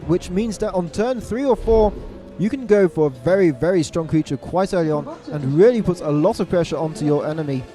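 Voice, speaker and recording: A man giving live play-by-play commentary on a card game match, stressing repeated words and correcting himself quickly. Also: high voice, expressive, over music. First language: English. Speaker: esports caster. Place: event venue abroad.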